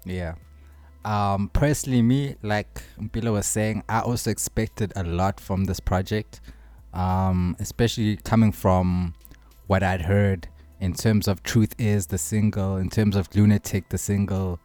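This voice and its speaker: A man's voice: fairly low pitch, steady tone, unhurried, a little mellow, no echo, soft background music. A man from South Africa co-hosting a podcast, talking in an easygoing, slangy way. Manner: easygoing, slangy, laughs with friends. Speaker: podcast co-host. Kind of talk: casual chat.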